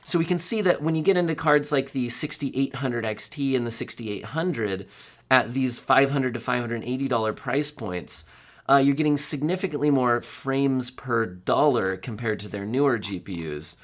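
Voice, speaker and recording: A man in his thirties with a hoarse voice from a cold, talking through hardware news in a casual, conversational way with fillers and self-corrections, recorded on a studio mic at home. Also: mid-range voice, moderate pace. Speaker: tech news host. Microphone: studio condenser mic.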